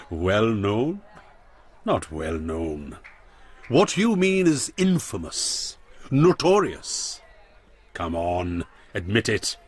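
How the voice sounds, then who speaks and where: A menacing radio drama villain with an Indian accent and a husky voice. His pitch swoops dramatically as he offers mock courtesy, pausing to taunt with smug relish. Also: wildly swooping pitch, full character voice. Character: menacing, smug male crime boss with theatrical courtesy.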